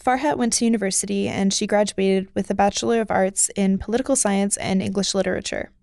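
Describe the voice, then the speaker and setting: Female podcast narrator; measured, crisp, dry studio read. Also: medium pitch, fairly even intonation, a little mellow, dry recording. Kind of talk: scripted narration.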